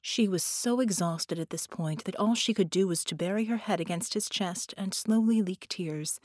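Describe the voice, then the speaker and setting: A woman reading fiction as an audiobook narrator, with dramatic, deliberate and expressive phrasing. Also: lowish voice, no echo, clean studio sound.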